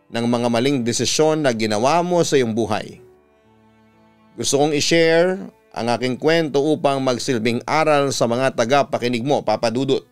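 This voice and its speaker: A man with a Filipino accent narrating a scripted story as a radio announcer, measured and clearly enunciated. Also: mid-range voice. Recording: music bed under the voice. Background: music.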